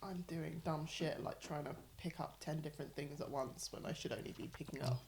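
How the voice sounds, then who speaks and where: Brief: woman, podcast guest, quick to laugh, asks pointed questions, faint background noise. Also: low-pitched, creaky. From Forest Gate, East London. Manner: laughs often, probing questions. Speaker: guest, astrology reader. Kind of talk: casual podcast chat.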